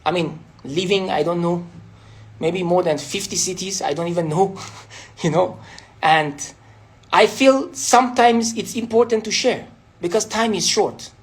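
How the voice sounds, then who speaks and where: A woman's voice: fairly low pitch, measured pace. Persian-accented woman rambling on a phone live stream, "you know" every other phrase, casual pep talk. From Iran. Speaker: entrepreneur hosting a live stream.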